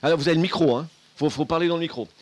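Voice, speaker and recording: A man's voice: fairly high pitch, rapid-fire, no room sound. A man moderating a round-table discussion, introducing guests casually with joking asides and many restarts. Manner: hesitant, repeats words, joking asides. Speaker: moderator of a panel.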